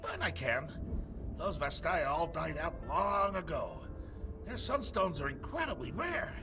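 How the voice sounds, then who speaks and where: High-voiced, shady merchant. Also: male voice, very animated, a little slow. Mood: furtive, sly. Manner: furtive, jittery. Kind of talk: video game voice acting. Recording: music behind the voice.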